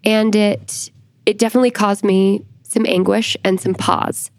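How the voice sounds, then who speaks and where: A woman with a medium-pitched voice speaks slowly and deliberately as an interview guest. She gives a reflective personal account with careful pauses, in a dry recording. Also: level tone, no echo. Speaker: guest church historian.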